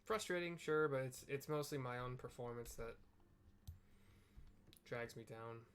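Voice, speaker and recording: A man's voice: medium pitch, unhurried, a bit bright. A man narrating a video game as he plays, moving between reading aloud and frank, casual commentary with some swearing and stumbles. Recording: clear background noise.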